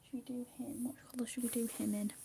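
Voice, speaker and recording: A woman chatting casually with her viewers while she colours, speaking softly, often half in a whisper and a little hesitant. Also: a little high, level tone, fast talker, creaky, slight background noise. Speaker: livestream host.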